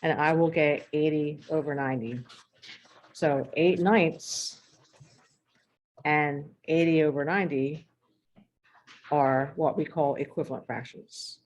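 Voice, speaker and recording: Deep-voiced woman, math teacher, voice strained and going hoarse, explains step by step with throat-clearing. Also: steady tone, slow pace, long pauses, darkish tone. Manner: clears throat often, talks through examples step by step. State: losing her voice. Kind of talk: recorded math lesson.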